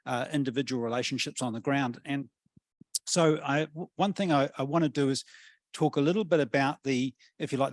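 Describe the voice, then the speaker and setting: A man's voice: medium pitch, dry recording. Man, New Zealand accent, middle-aged, academic panel speaker, hesitant fillers, dry close recording. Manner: thoughtful, many fillers and restarts. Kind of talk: panel discussion talk.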